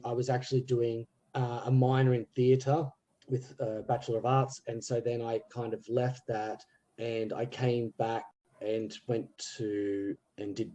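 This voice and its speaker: Brief: male English teacher, warm dark tone, relaxed and conversational. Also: medium pitch, low-quality recording. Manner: relaxed, thinking aloud with fillers. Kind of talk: casual podcast conversation.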